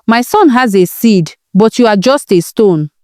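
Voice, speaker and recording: A woman plays a spiteful older mother-in-law in a storybook tale. She gloats and scorns with a highly animated, swinging delivery, close and dry. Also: a little slow, no echo. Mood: scornful.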